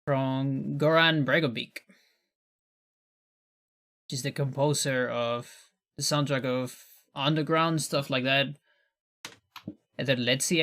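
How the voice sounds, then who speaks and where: A Chilean man whose first language is Spanish, with a loose, mixed American accent. His voice is bright and forward, at a middling pitch. He chats casually over a speedrun stream, chuckling and letting sentences trail into murmurs. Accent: mixed American with Chilean undertone. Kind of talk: casual live-stream chat while playing.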